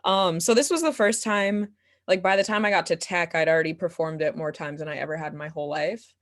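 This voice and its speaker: A young woman with a voice a little on the low side, answering interview questions casually. She is animated and giggly, and talks candidly with a self-deprecating streak. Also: a little fast. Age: young adult. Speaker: guest, playwright and solo performer.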